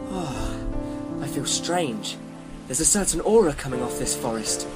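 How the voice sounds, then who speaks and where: A man's voice: on the high side, close to an everyday voice. A man playing a fretful, cowardly young adventurer who exclaims to himself in an amateur comic radio play. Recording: music bed behind the voice.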